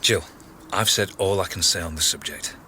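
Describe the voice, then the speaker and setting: A man's voice: deep voice, moderate pace, bright, forceful tone, a little hiss or hum. An actor playing a pragmatic, weary and exasperated male scientist in a radio drama. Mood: firm, weary. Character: exasperated, pragmatic male colleague on research team.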